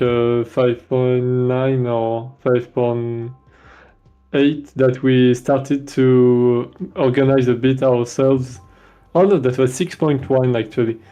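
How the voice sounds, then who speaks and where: A man's voice: mid-range voice, dark tone, clean tone. A man with a French accent, a game developer chatting casually on a stream, hesitating often with many fillers and restarts. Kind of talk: casual dev stream chat.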